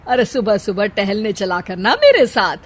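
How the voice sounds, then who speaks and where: Woman acting a husky, gossipy scheming neighbour auntie. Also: mid-range voice, huge pitch swings, normal speed. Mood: nosy, teasing. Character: scheming, gossipy older neighbour woman, slyly stirring trouble. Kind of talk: dramatized Hindi moral story dialogue.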